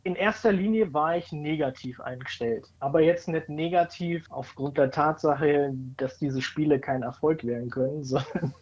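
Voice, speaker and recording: A man's voice: fairly high pitch, moderate pace, a little mellow, breathy edge, low-quality recording. A man with a Bavarian accent, a team captain speaking earnestly in a panel discussion.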